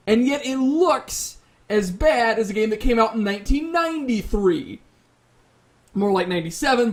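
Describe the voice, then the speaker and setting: A man hosting a casual debate about video games, arguing his points in a rambling, opinionated way. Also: sits high. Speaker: host of a debate show.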